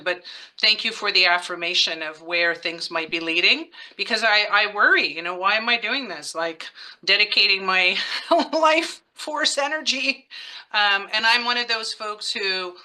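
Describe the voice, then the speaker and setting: A woman's voice: medium pitch, animated, normal speed, sharp tone, low-quality recording. A woman from the Czech Republic, a nurse and psychedelic therapist, asking a question in a rambling, enthusiastic way. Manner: enthusiastic and rambling, many "you know" fillers. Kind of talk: Q&A comment.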